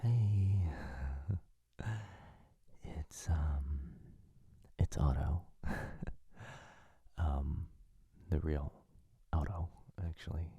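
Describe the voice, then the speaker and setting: A man chatting casually in a soft, hesitant whisper, like an ASMR creator signing off to listeners. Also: rumbling low, drawn-out words, gravelly, pauses often, breathy edge, no room sound, highs cut off. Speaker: voice actor and ASMR channel creator.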